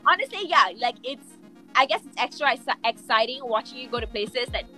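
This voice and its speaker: A young woman, hosting a playful video-call interview in a bubbly, expressive way. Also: high-pitched, fairly quick, soft background music, poor audio. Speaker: radio show host. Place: indoor studio room.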